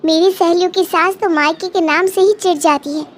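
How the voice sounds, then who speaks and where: A woman's voice: very high voice, fairly even intonation, moderate pace. A woman voicing a polite, dutiful young daughter-in-law in a Hindi cartoon, earnest and respectful. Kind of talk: Hindi cartoon moral story acting.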